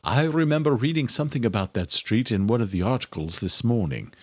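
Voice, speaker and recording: An earnest scientist and doctor performed by a male narrator, with a raised, lively pitch and dry sound. Worried but loyal, the audiobook figure explains things carefully. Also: a little fast, no echo, lightly acted. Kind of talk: horror thriller audiobook character.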